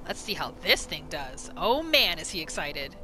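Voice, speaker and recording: A woman giving casual, expressive gameplay commentary as a roleplay host. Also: low-pitched, normal speed, soft background music, rough recording. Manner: expressive, exclamatory.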